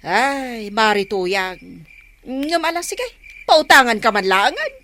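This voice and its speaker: A woman with an Ilocano accent playing a scolding but soft-hearted village storekeeper in a radio comedy drama, nagging and exasperated. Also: fairly high pitch, very animated, takes it slowly, exaggerated voice.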